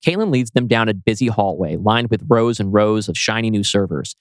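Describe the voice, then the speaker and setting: A man's voice: fairly low pitch, expressive, fairly quick, dry recording, studio quality. A man narrating a screenplay dramatically as its writer, presenting stage directions and scene changes. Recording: music playing behind voice.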